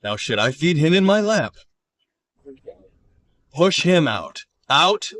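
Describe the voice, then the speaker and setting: A man voicing an arrogant, callous village chief, scornful and domineering, in an animated story. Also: fairly high pitch, takes it slowly, distant mic, full character voice. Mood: angry.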